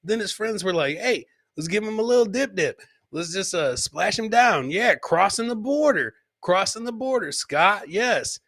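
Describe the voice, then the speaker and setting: A man's voice: high-pitched, animated, moderate pace, creaky, fairly bright tone. A man hosting a live comedy show, casually commenting on funny clips and often repeating his phrases for comic emphasis.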